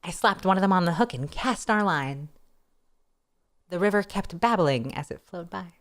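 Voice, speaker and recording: A woman narrating a first-person story aloud in an expressive, playful style, with wry casual asides. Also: on the low side, normal speed. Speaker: streamer reading a novel aloud.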